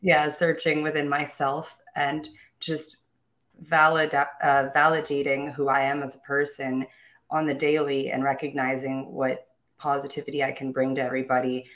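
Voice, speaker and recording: Low-voiced woman telling her story. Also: little pitch movement, normal speed, a bit bright. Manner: upbeat, self-assured, with small laughs and restarts. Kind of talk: candid personal storytelling.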